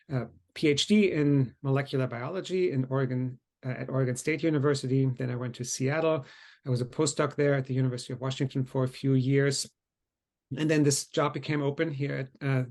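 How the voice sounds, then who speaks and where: A middle-aged man from Germany, a biology professor speaking on a Q&A panel in a relaxed way, clearing his throat now and then. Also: mid-range voice. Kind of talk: panel Q&A introduction.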